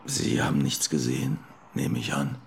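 An actor playing a dry, formally polite police detective who talks in a deliberate, insinuating way in a radio drama. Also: male voice, a bass voice, little pitch movement, gravelly, a little hiss or hum. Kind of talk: naturalistic German radio drama acting.

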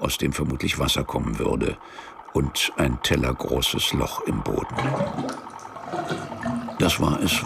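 A man narrating a scripted literary radio drama as a wry storyteller, measured and deadpan. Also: extremely low pitch, vocal fry, background noise, exaggerated voice. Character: dry, wry storyteller of a travel tale.

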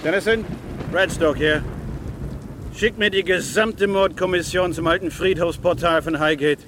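An actor playing a gruff, sardonic English police detective near retirement in a German radio crime drama. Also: male voice, on the high side, normal speed, background noise, close to an everyday voice. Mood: brisk, gruff. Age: 60s. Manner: blunt, grumbling.

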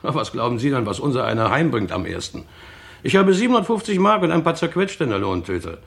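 An actor playing a defiant, plain-spoken factory worker in a radio crime drama, coarse and argumentative, with a creaky voice. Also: male voice, mid-range voice, big pitch swings, brisk, fairly bright tone. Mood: indignant.

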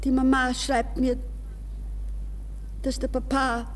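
A woman's voice: high-pitched, little pitch movement, takes it slowly. An actress playing an anxious, proud young woman in a nervous, pleading manner, in the style of 1960s radio drama. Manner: breathless, nervous, shifting between polite speech and inner thoughts. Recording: reverberant, hissy 1960s radio recording.

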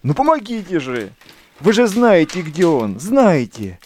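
A desperate, bewildered soldier of the war in an audio play. His voice is fairly high and very animated, plaintive and repetitive as he pleads. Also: unhurried, slight background noise. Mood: desperate pleading. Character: frantic, lost, pleading army lieutenant, wartime ghost. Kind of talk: audio drama acting.

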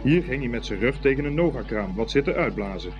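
A man's voice: mid-range voice, animated, quick pace, highs cut off, over music. A man reading scripted storybook narration as the narrator of a radio play.

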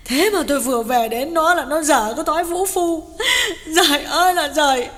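High shrill village wife wailing n cursing, echoey with music under it. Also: male voice, normal speed, fairly bright tone. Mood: wailing, scolding. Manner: wailing, cursing, theatrical lament.

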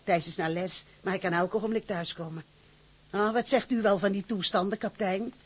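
A woman's voice: mid-range voice, fairly even intonation, a little fast, husky, lightly acted. An actress playing a middle-aged widowed mother in a radio drama, garrulous, fussy and deferential, hesitating as she chatters. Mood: welcoming, fussing. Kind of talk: Dutch radio drama acting.

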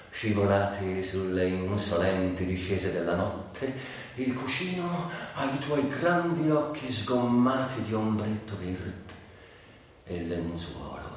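A man performing a dramatic literary monologue with a theatrical, brooding delivery. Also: on the low side. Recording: very echoey room, distant, faint noise.

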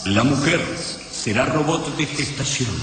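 An actor playing a cold, menacing alien overlord in a radio drama, with lugubrious delivery, stilted inverted phrasing. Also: male voice, medium pitch, measured pace, natural voice. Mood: cold menace. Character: alien overlord, male, cold, menacing, speaking broken syntax. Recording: echoey long reverb, loud music behind. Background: loud dramatic music.

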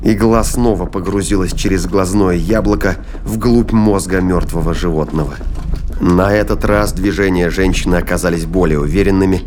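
Male narrator, lowish pitch, scripted dramatic narration, audio drama, clear background ambience. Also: steady tone, normal speed, darkish tone, slight background noise.

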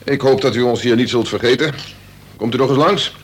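A man playing a deputy prison governor, polite, breezy and gently teasing, in a Dutch radio play. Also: mid-range voice, fairly quick, natural voice. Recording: faintly noisy old radio recording.

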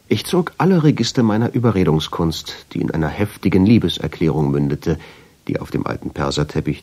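Radio drama narrator: brooding, lovestruck man, low and gravelly, very breathy, intense and deliberate delivery. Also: darkish tone, full character voice. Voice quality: husky, gravelly, often sinking into whisper. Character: brooding, lovestruck male first-person narrator.

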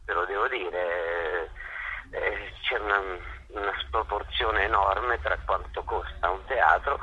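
A middle-aged man talks in a conversational interview. His voice sits a little low but is very sharp and forceful. He tells stories with rhetorical questions, over faint background noise. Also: slow, highs cut off. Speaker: interviewed theatre actor and director.

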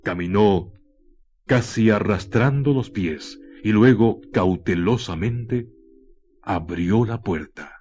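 A man with a lowish voice narrates a horror radio play very slowly. He is deliberate and suspenseful, letting pauses hang, with faint music behind him. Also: darkish tone.

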